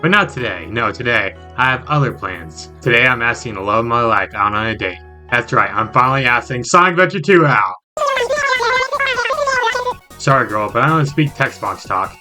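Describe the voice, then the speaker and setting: A man's voice: medium pitch, lively intonation, normal speed, pushed and bright. A man narrating a scripted comedic video review in a playful, exaggerated way with jokey asides. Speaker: video game reviewer, channel host. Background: background music.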